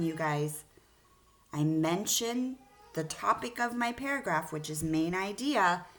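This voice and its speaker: A woman speaking as an elementary school teacher, reading aloud and explaining a lesson to young children, addressing them directly with questions. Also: medium pitch.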